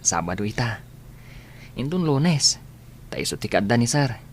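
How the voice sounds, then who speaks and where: A man acting as a gentle, sickly schoolboy in a radio drama, quietly determined. His mid-pitched voice sounds over faint room ambience. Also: very animated, moderate pace, lightly acted. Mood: earnest. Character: gentle, determined ailing schoolboy, devoted son. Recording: faint background ambience and noise.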